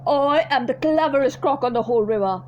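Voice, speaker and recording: A woman voicing a big, boastful, greedy male crocodile who speaks slowly and gloatingly. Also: high-pitched, highs cut off, slight background noise, lightly acted. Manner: slow, gloating, drawn-out delivery.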